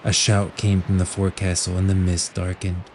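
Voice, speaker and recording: A man's voice: low-pitched, monotone, normal speed, a little mellow, slight background noise. A man reading scripted storybook narration as the narrator of an audio drama. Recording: music under the voice. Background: background music.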